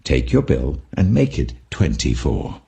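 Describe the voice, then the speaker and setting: A man's voice: rumbling low, wildly swooping pitch, unhurried, creaky, mellow, exaggerated voice. A man voicing a shrewd, anxious household manager in a scripture parable, musing and scheming. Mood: brisk scheming.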